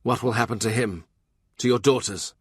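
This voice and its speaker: A man's voice: mid-range voice, moderate pace, breathy edge, no room sound, clean studio sound, natural voice. A man voicing a blunt, angry royal minister. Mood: heated. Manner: forceful, heated, blunt.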